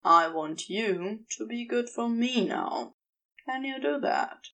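A woman voicing a commanding but tender male demon lover in a fan fiction audiobook, with deliberate, praising speech. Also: a little high, lively intonation, fairly bright tone. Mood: tender, coaxing.

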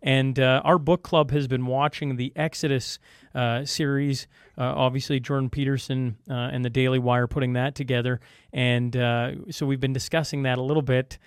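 A man hosting a podcast, asking questions in a halting way with lots of fillers and restarts. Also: medium pitch, level tone, clear, resonant tone, no room sound, clean studio sound. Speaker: podcast host. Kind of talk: casual interview talk.

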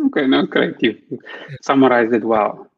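A man's voice: mid-range voice, normal speed, gravelly, dull audio. A man hosting a live interview show, who asks his questions in a structured way. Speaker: podcast host.

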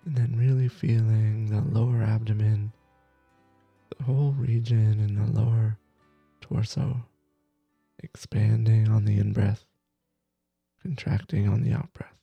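A man leading a guided meditation as teacher, gentle and soothing, with soft breathy phrases that trail off between long pauses. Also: fairly low pitch, little pitch movement, no room sound, muffled recording, faint music behind. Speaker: meditation teacher, podcast host.

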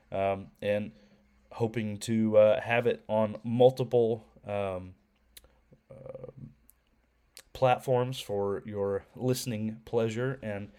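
A young man co-hosting a faith podcast, talking casually with a head cold that makes him a little nasal, often pausing with ums and restarting. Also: lowish voice, a little mellow, smooth. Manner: hesitant, many ums and restarts. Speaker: podcast co-host.

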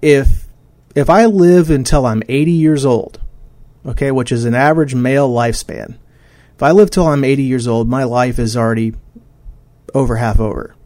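A man's voice: mid-range voice, normal speed, mellow, no room sound. A man in his forties hosting a podcast on his own, talking casually with many fillers and restarts. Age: 40s. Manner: rambling, frequent fillers and restarts. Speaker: podcast host. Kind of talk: casual solo podcast talk.

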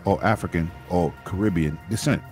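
An American man bantering casually in brash, animated, streetwise slang. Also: low-pitched, brisk, soft background music. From United States.

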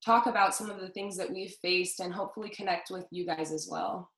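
A woman's voice: fairly low pitch, fairly even intonation, fairly quick, fairly bright tone, roomy sound. A woman in her twenties from Southern California, co-hosting a podcast in an upbeat, casual way. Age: 20s. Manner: upbeat, friendly, lots of fillers. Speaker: podcast co-host. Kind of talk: casual chat.

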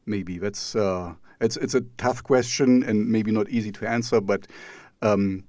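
A man's voice: lowish voice, steady tone, a little slow, darkish tone, dry recording, clean studio sound. A German-accented man from East Germany, a native German speaker, hosting an interview in English and asking long, rambling questions full of restarts and fillers. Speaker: podcast host. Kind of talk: conversational interview.

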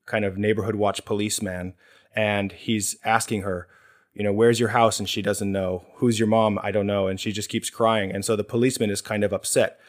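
A man hosting a casual podcast chat, explaining things in a measured way and thinking aloud as he goes. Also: on the low side. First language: English. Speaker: podcast host.

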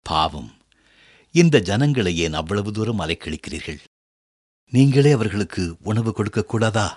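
An actor playing Jesus as a compassionate teacher in a radio drama, speaking in a measured, formal and gentle way. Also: male voice, fairly low pitch, expressive, slightly rough, no room sound, clean studio sound. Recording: radio drama with soft music underneath.

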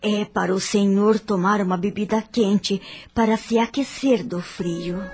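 An actress playing an elegant, compassionate lady with a Brazilian accent, gentle and pitying in a radio drama. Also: medium pitch, steady tone, measured pace, faint music behind, natural voice. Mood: tender pity.

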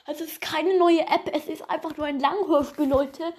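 A woman's voice: sits high, fairly even intonation, moderate pace, a little mellow. A woman improvising a homemade audio play, chatting casually and hesitantly straight to the listeners.

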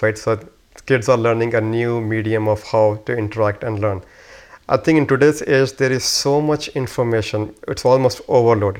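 A man with a middle-pitched voice talks as a guest on a panel. He explains his points informally, in a conversational style.

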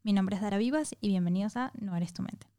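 A Venezuelan woman in her twenties, hosting a podcast and telling her own story in a candid, chatty way with fillers and soft laughs. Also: mid-range voice, quick pace. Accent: Venezuelan Spanish. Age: 20s. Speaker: podcast host. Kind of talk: casual personal monologue.

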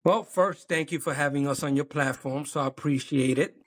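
Man, slightly higher voice, relaxed and halting. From New York. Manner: relaxed, halting with many ums, chuckles. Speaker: clothing brand owner, guest. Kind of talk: casual interview chat.

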